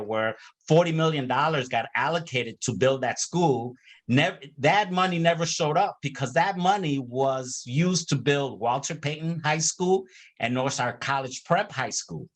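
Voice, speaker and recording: A middle-aged man from Chicago, speaking earnestly on a panel over a video call and pausing often with filler sounds. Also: mid-range voice. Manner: earnest, many hesitations and restarts.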